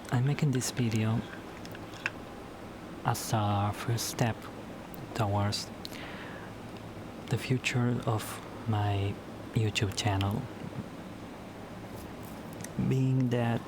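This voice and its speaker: Slow spanish-native guy vlogging at home at night, keeps repeating words, noisy recording. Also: fairly low pitch, steady tone. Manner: halting, repeats words and restarts often. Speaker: YouTuber. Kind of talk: casual solo vlog talk.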